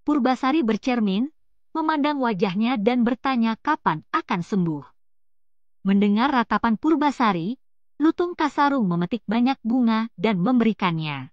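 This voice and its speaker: A woman's voice: fairly high pitch, animated, moderate pace, no room sound. A woman storyteller reads a scripted folktale. The recording is dry and close, with a slightly dull, lo-fi sound. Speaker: storyteller, narrator.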